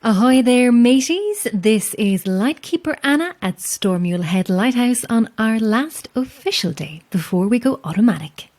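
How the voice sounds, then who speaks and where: An actress playing a chatty, self-deprecating lighthouse keeper in an audio drama, friendly and a little wistful, with hesitant asides. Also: female voice, fairly high pitch, lively intonation, normal speed, few pauses, a little mellow, slight background noise, close to an everyday voice. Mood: cheery, friendly. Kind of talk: naturalistic audio drama acting.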